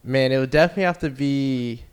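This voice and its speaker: Smooth-voiced young man telling stories. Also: mid-range voice, quick pace. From United States. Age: young adult. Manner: relaxed, rambling storytelling with fillers. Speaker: baseball player guest. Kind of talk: casual interview.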